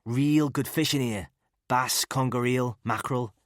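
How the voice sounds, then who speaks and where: A man voicing a smooth-talking, chummy ex-paratrooper with a Scouse accent, pitched well above his own husky, low narrating voice. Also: steady tone, a little slow, dry recording, studio quality, natural voice. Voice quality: oozy, chummy. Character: affable scouse ex-para male, smooth-talking.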